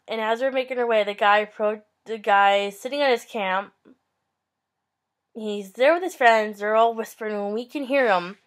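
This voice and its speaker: A young woman telling a story to her webcam in a casual, upbeat vlog, gesturing as she talks from a small bedroom. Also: on the high side. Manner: chatty, upbeat, animated hand gestures. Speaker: vlogger. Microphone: webcam at close range.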